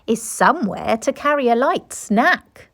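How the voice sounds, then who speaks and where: A woman reading a children's storybook, voicing a determined, resourceful woman cyclist with slow, drawn-out emphasis. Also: fairly high pitch, very animated, creaky, a bit bright, heavily acted. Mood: determined, pondering. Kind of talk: children's storybook read-aloud.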